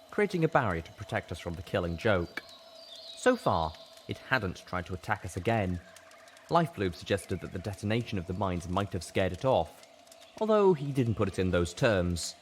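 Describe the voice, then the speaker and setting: Low-pitched man narrating a dramatic story. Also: a little hiss or hum. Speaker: story reader. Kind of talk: dramatic first-person audiobook narration.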